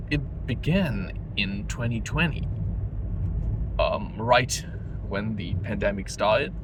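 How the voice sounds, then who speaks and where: A man vlogging off the cuff from his car at night, rambling with many fillers. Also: on the low side. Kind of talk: unscripted casual vlog while driving. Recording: clear car noise underneath. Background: car engine and road noise.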